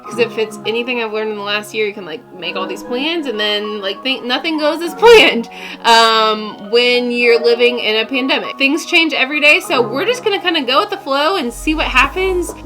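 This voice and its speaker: A woman's voice: a little high, moderate pace. A woman in her late twenties talking in a chatty, upbeat way in a casual personal vlog, often laughing as she tells her story. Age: 20s. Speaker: vlogger. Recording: music bed under the voice.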